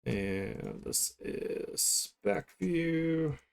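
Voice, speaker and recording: A man's voice: on the high side, wildly swooping pitch, extremely slow, creaky. A man talking casually to viewers on an art livestream, rambling along as he works. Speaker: art streamer hosting a livestream. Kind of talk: casual livestream chat while drawing.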